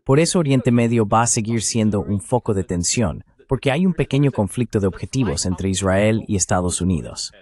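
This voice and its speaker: A man's voice: fairly low pitch, expressive, brisk, hardly any pauses, darkish tone. A man giving geopolitical commentary as a guest, in a dubbed voice-over.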